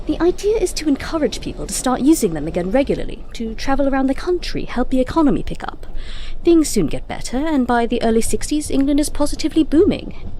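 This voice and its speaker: A woman's voice: sits high, big pitch swings, fast talker, dark tone, slight background noise. A woman playing an eccentric, breezy, brainy time-travelling Doctor in an audio drama, chatty and whimsical, with quaint turns of phrase. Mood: explaining.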